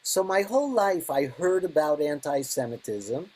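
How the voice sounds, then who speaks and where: An older man with a husky, slightly rough voice conducts an interview. He comes from the Bronx and puts his questions in a conversational way. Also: fairly high pitch, animated, normal speed, a little mellow, rough recording. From Bronx, New York, United States.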